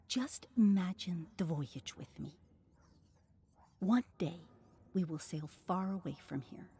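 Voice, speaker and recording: A woman voicing a tender, desperate young woman in a story, gentle, wistful and half-whispered. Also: lowish voice, slightly rough, pitched above the performer's own voice, full character voice. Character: tender, desperate young woman comforting her frail brother.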